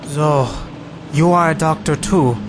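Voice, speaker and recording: An actor playing a mortally wounded German major, measured and formal, weak and resigned. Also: male voice, on the high side, noisy background, natural voice. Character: wounded male German major, dying, composed.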